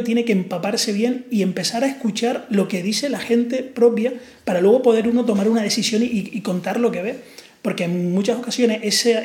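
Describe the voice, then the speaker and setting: A man's voice: high voice, darkish tone. A man with a Canarian Spanish accent, co-hosting a podcast and telling stories in a reflective, hesitant way. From Canary Islands. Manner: reflective, storytelling, hesitant fillers. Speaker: podcast co-host. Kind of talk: casual conversation. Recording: roomy echo.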